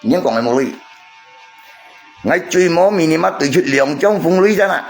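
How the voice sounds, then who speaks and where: A man's voice: fairly high pitch, animated, normal speed, vocal fry, soft background music. A man chatting casually on a livestream, repeating himself and arguing his point to viewers. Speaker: live streamer.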